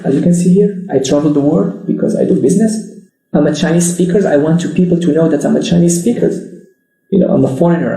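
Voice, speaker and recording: A man's voice: fairly high pitch, creaky, mellow. A middle-aged man, a business marketing trainer, explaining a screen-share tutorial step by step in loose non-native phrasing, often checking with "right?". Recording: echoey room.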